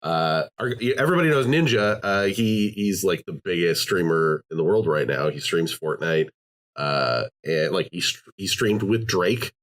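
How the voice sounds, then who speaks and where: A man's voice: low-pitched, animated, normal speed, fairly bright tone. A man hosting a podcast, talking casually, rambling with many fillers and restarts. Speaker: podcast host. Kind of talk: casual chat.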